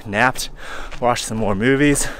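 A man in his forties, hot and sweaty, talking casually to a handheld camera while hiking outdoors, relaxed and rambling with fillers. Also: medium pitch, takes it slowly, a little hiss or hum. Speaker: hiking vlogger. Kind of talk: casual vlog talk to camera. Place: outdoors on a forest trail. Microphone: handheld camera held at arm's length.

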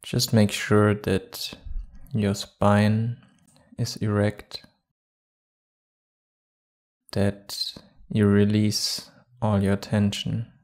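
A man guiding a meditation calmly and without hurry, leaving long pauses between short phrases. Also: on the low side. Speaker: meditation guide. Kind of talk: guided meditation.

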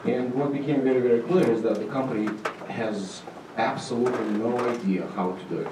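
A middle-aged man giving an informal conference presentation, halting and dryly humorous. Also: medium pitch. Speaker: conference presenter, state agency manager. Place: auditorium stage. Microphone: handheld microphone, recorded from far camera. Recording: echoey hall, distant, noisy room sound.

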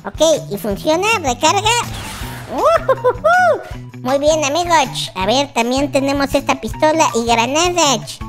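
Casting: baby boy gamer character, squeaky and extremely high, animated, excitable, boastful yet sweet, over kids' music. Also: unhurried. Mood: eager, confident. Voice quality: squeaky, childlike. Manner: exclamatory, repeats words in bursts. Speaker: gaming channel host. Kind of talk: roleplay gameplay commentary for children. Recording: music behind the voice. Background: children's music.

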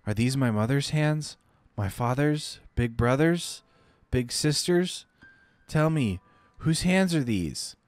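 A man voicing a dying young woman who talks like a small child in her fever, with a high, pleading voice. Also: lively intonation, slow, no echo. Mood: tender, wondering.

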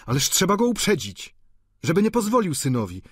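A man's voice: medium pitch, animated, moderate pace, breathy edge, no room sound, studio quality. A man voicing an earnest young male student in a storybook reading, speaking raised and urgent.

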